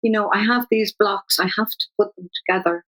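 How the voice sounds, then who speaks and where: An older woman poet with an Irish accent, talking thoughtfully with many restarts and reading her verse slowly. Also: medium pitch, steady tone, a bit bright, low-quality recording. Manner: thoughtful, searching, frequent restarts; slow measured poetry reading. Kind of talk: conversational interview with poetry reading.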